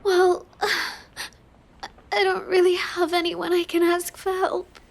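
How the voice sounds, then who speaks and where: A woman playing a shy, sweet young villainess who feigns helplessness in a halting, apologetic way for an intimate roleplay audio. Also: very high voice, completely flat delivery, vocal fry, full character voice. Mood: tearful, distressed.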